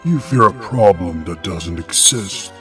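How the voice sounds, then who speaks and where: A very low-voiced, haughty old ice king played by a man, stately and scholarly, quick to scold. He sounds set back from the mic, with music underneath, in a fantasy audio drama. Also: expressive, pushed and bright, far from the mic, full character voice. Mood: dismissive. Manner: stately, condescending. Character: haughty, scholarly old frost king, dismissive and short-tempered.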